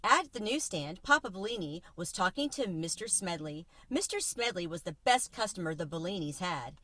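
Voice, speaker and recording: A woman storyteller reading a children's novel aloud in an expressive, animated way. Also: fairly low pitch, moderate pace, no echo.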